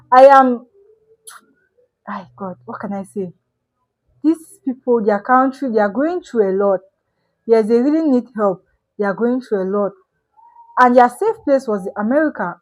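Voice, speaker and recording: Woman reaction commentator, slightly high voice, halting and emotional delivery. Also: darkish tone, poor audio. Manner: halting, searching for words.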